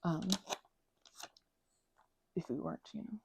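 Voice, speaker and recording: A woman making an ASMR craft video, rambling softly with whispered asides, little exclamations and meandering self-interrupting tangents. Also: sits low, takes it slowly, creaky, noisy background. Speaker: ASMR creator.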